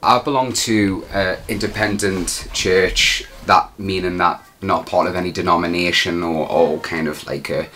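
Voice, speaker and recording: Young preacher, very low voice with a bright edge, hesitant interview talk. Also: male voice, a little hiss or hum. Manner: reflective, hesitant with restarts. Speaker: street preacher. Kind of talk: documentary interview.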